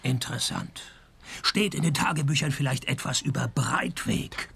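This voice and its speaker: A man playing a laconic, deadpan private detective who also narrates a radio play, in a husky voice. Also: mid-range voice, moderate pace, full character voice. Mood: dry, probing. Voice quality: husky, gravelly. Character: male private detective, dry, unimpressed, probing, also the narrator. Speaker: lead actor and narrator. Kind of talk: scripted radio detective comedy.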